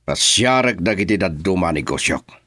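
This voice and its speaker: Shrewd Ilocano businessman boss for radio serial, male actor: low voice, big swoops, drawn-out phrasing, dry close sound. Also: expressive, a bit bright, no echo. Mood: brooding, scheming. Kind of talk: Ilocano radio drama acting.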